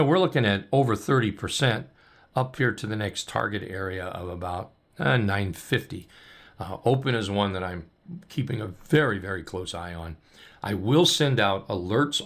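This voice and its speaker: Hoarse gravelly man walking through charts. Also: on the low side. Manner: upbeat, loose, many ums and restarts. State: losing his voice. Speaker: trading coach. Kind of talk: casual chart walkthrough.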